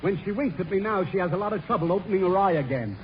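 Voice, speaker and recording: An actor playing a silly, exasperated prison warden in a 1930s radio comedy, clownish and given to muttered sarcastic asides. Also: male voice, high-pitched, expressive, quick pace. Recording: muffled, noisy 1930s radio broadcast.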